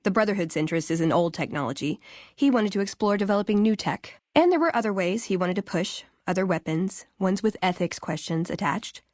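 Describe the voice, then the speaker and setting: A woman acts as a young American woman scribe in a video game. She is earnest and conversational, with a dry wit. Her voice sits at a middle pitch, recorded very dry with no room sound. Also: expressive, brisk, a little mellow, dry recording, lightly acted. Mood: earnest, reflective. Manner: conversational, dryly wry. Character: wry, earnest young woman scribe of a tech-hoarding order. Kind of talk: video game character dialogue.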